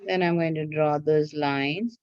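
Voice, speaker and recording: A woman's voice: sits low, fairly even intonation, a little slow, smooth, no echo, dull audio. A woman teacher giving step-by-step craft instructions to children in a patient, repetitive way, often checking that they follow.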